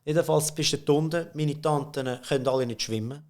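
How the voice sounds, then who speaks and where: Swiss German businessman guest, middle-aged, relaxed storyteller with a slightly raised pitch, laughs easily. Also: a little fast. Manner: chatty, laughs often, many fillers and restarts. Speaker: podcast guest, company CEO. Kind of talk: casual conversational storytelling.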